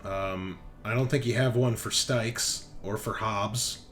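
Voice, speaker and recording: A man's voice: lowish voice, fairly even intonation, slow, a bit bright, soft background music. A man working as game master, narrating a tabletop roleplaying game in a casual, improvised way. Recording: clearly noisy background.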